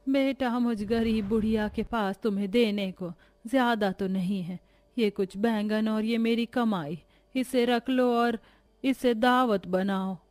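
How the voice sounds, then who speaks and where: A woman playing a poor, kind-hearted old village grandmother in a cartoon story, with gentle, drawn-out speech. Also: on the high side, soft background music, natural voice. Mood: kind, concerned.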